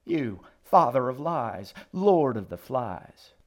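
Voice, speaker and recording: Male narrator as old woman, demonic religious zealot, loud, grating, declamatory, righteous, hateful, audiobook character. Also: mid-range voice, animated, measured pace. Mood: accusing. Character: fanatical hate-filled old woman preacher, secretly a demon.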